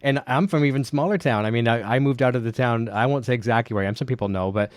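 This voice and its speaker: Fast-talking Canadian man host. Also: mid-range voice, no echo. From Ontario, Canada. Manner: chatty, laughs easily, tells anecdotes. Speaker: podcast host. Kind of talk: casual podcast interview.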